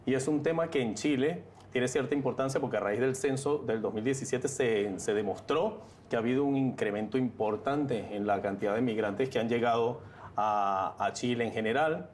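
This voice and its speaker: A man's voice: medium pitch, moderate pace, a little mellow, slight background noise. Male TV host steering a debate. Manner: conversational, thinks aloud while framing questions. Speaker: TV interview host. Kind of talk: hosting a televised debate.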